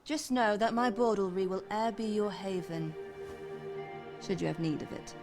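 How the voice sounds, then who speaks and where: An actress playing a seductive, vengeful masked madam in a fantasy game, speaking in measured, theatrical, archaic phrases. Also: female voice, medium pitch, over music, lightly acted. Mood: grateful, intimate. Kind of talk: fantasy video game voice acting.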